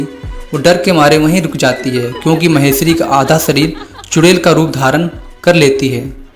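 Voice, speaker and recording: Male storyteller for scripted horror narration, slightly high pitch, soft music bed.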